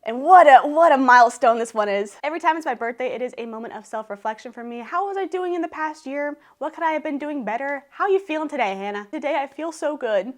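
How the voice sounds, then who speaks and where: A woman's voice: high voice, animated, quick pace, hardly any pauses. A thirty-year-old woman, chatting to the camera in her living room, emotional and laughing as she talks. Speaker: YouTuber. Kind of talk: casual vlog talk to camera.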